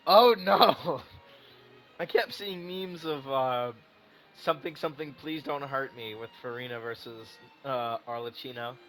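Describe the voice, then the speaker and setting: A man streaming a game in a medium-pitched voice. He comments casually, wandering through his thoughts with teasing and swearing. Faint music from the game plays behind him. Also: unhurried, a bit bright.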